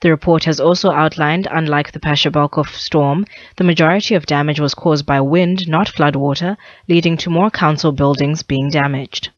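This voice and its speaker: A woman's voice: low-pitched, steady tone, moderate pace, hardly any pauses, dry recording, dull audio. A woman reading a scripted radio news bulletin, occasionally stumbling over phrases. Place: dry radio studio.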